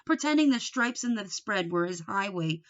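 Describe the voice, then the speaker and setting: A woman's voice: mid-range voice, animated, moderate pace. Woman reading a children's book, muffled sound. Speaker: storybook reader. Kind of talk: children's book read-aloud.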